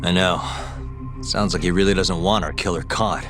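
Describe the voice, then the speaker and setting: Man playing a cool, low-voiced detective. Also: fairly even intonation, moderate pace, over music, natural voice. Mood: determined. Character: cool, dogged, wry private detective. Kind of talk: video game drama acting.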